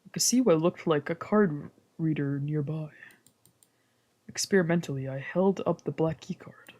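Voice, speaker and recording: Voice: mid-range voice, moderate pace, darkish tone, lo-fi sound. A streamer reading a visual novel's narration aloud in first person, translating the lines, with whispered asides.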